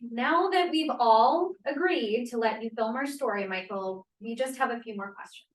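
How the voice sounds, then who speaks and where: A woman's voice: fairly high pitch, normal speed, slight background noise, close to an everyday voice. A woman acting a spirited, guarded member of a feminist secret society in a script read-through, speaking emphatically. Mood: guarded, firm. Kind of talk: table read of a play script. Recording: echoey room, distant microphone.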